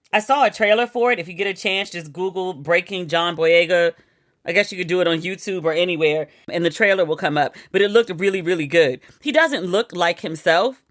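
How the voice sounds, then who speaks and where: A woman's voice: on the low side, fairly bright tone, no echo, studio quality. A woman hosting a podcast, chatting casually and playfully as she reads out quotes and news items. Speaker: podcast host. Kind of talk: casual chat.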